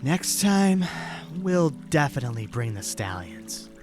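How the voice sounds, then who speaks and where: A man plays a steady, protective young knight in an audio drama, his voice mid-pitched and plain. He keeps his lines short and direct, with music clearly underneath. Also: unhurried. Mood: agreeable. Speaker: voice actor.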